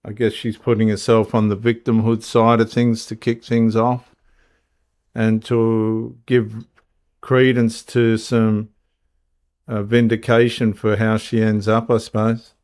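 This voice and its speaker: Low flat-voiced argumentative man. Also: a little slow. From Australia. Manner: argumentative, interrupts guest. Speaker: host. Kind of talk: scripted intro then interjecting commentary.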